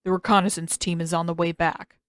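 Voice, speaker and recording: A woman's voice: fairly low pitch, level tone, a little fast, dry recording, voice pushed down, close to an everyday voice. A dutiful young man voiced by a woman reader delivering reports in an audiobook story. The manner is plain and matter-of-fact, in a dry recording. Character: bulky young male messenger, plain and dutiful. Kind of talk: acted audiobook dialogue.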